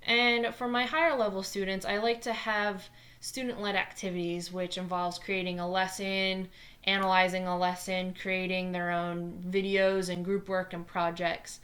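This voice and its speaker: A woman in her twenties with a New Jersey accent, a math teacher talking fast and earnestly through a scripted lesson video. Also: mid-range voice, little pitch movement, a bit bright. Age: 20s.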